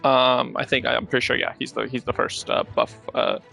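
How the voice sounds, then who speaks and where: Male streamer, casual gameplay talk, fry in the voice, halting delivery, soft game music behind. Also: medium pitch, little pitch movement. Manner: halting, self-correcting explanations. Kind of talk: casual gameplay commentary and chat. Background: video game music.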